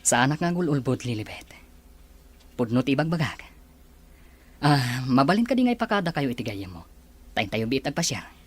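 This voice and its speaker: A man's voice: mid-range voice, big pitch swings, normal speed, long pauses, husky. An actor playing a confident, courteous businessman courting a woman in a radio drama, earnest and assured. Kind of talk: Ilocano radio drama acting.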